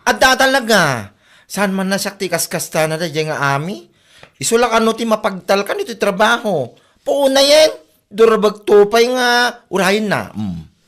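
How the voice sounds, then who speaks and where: A woman's voice: medium pitch, very animated, normal speed, close to an everyday voice. A woman with an Ilocano accent acting a resentful, gossipy office worker in a radio comedy drama, mocking and exasperated. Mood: indignant, mocking.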